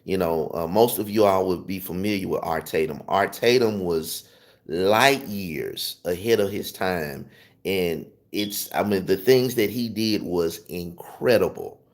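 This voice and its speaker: A man's voice: low voice, unhurried. A man with a Southern US accent giving an informal music lesson, emphatically repeating and rephrasing his points. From Memphis, Tennessee, southern US. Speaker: music teacher. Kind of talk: informal instructional talk to viewers.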